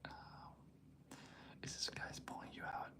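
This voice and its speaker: A young man hosting a livestream who whispers throughout, chatting softly and reassuringly with his viewers. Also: slow pace. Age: young adult. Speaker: ASMR livestream host. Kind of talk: whispered ASMR livestream chat.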